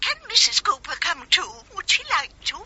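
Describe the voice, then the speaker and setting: A woman's voice: very high-pitched, very animated, moderate pace, a crowd in the background. An actress playing a genteel, spirited elderly mother with an upper-class English accent in a British radio drama. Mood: gracious, delighted. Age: 80s. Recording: dull, band-limited radio recording.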